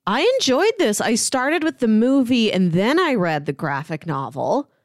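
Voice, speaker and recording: A woman's voice: a little high, very animated, moderate pace, no room sound, clean studio sound. A young adult woman co-hosting a podcast, giving her opinions in casual but articulate conversation. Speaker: podcast co-host.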